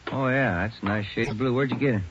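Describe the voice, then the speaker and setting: A man's voice: medium pitch, fast talker, close to an everyday voice. A male actor playing an easygoing, chatty American homicide detective in a casual 1940s radio drama style. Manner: casual, conversational. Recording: dull noisy old radio recording.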